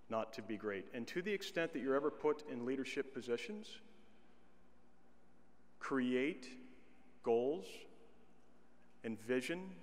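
A middle-aged man delivering a commencement address at a podium, speaking in a measured way with slow, deliberate emphasis. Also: mid-range voice. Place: large indoor arena stage at a podium. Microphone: podium microphone. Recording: long arena reverb.

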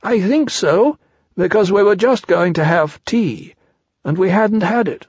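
A man's voice: high-pitched, animated, a little slow, darkish tone, husky, no echo, dull audio, voice pushed up, exaggerated voice. A man voicing an amiable, bumbling male bear in a storybook, polite and hesitant, rambling along. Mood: puzzled.

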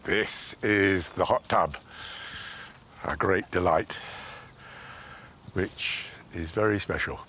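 A man's voice: low voice, takes it slowly, pauses often, fairly bright tone, a little hiss or hum. An older man, a retired English vicar, chatting genially in a documentary interview recorded on location with a lapel mic. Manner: chuckling, genial. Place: garden and church, on location.

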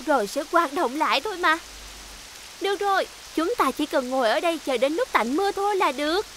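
High-pitched, kindly cartoon big sister. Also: female voice, animated, a little fast, slight background noise. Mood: concerned. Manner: gentle, explaining, encouraging. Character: kind, reassuring big sister guiding young children. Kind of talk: animated children's series dub.

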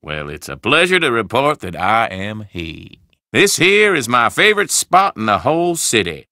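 A creaky, courtly old Southern gentleman. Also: medium pitch, very animated, no room sound, clean studio sound. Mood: charming, delighted. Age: older. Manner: florid, courtly, drawn-out phrasing. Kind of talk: video game character dialogue.

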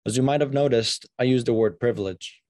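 A man's voice: medium pitch, fairly quick, darkish tone, dry recording, studio quality. A young man from Israel, a student leader, giving an earnest prepared speech with small restarts, recorded indoors on a video call. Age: young adult. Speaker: student president. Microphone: webcam on a video call.

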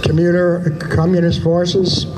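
A man giving a talk in an aircraft hangar, reading prepared notes with asides, halting with many fillers and restarts. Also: high-pitched, monotone. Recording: noisy hangar with vehicle sounds.

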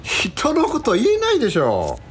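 Woman playing a hapless, animated young man. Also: mid-range voice, moderate pace, slight background noise. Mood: casual. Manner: casual, flustered. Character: hapless, lovestruck young man, easygoing and eager. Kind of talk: radio drama acting.

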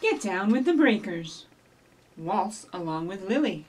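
Middle aged lady doing kids storytime, super up and down voice, simple and animated, mic kinda far away. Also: medium pitch, a little slow, a little hiss or hum. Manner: animated, simple instructions addressed to young children. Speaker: children's librarian hosting storytime. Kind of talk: children's storytime with rhymes and read-aloud. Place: small indoor office or library room with block walls. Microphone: camera a few feet away. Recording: distant camera microphone.

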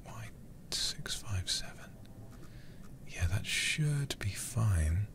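Very deep, whispery man streaming ASMR. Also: expressive, drawn-out words. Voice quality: breathy whisper, gravelly low voice. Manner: murmuring to himself, mild swearing. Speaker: livestreamer. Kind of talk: ASMR game commentary. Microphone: close ASMR microphone.

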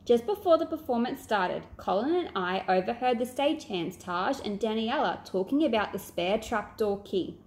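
A woman's voice: on the high side, lively intonation, moderate pace, hardly any pauses, a little mellow. A woman voicing a sceptical, serious boy who leads a children's detective club in a storybook. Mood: suspicious.

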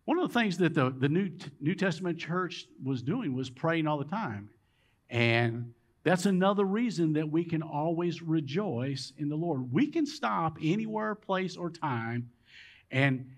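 An older man with a drawl preaches from the front of a big church hall, his voice sitting fairly high and roaming widely in pitch. He takes his time, leaning into key words and then dropping almost to a murmur. The sound carries the open room around him. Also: animated, a little slow. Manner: emphatic preaching, rising and dropping dramatically. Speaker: pastor. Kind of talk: sermon. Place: large church sanctuary with rows of empty chairs.

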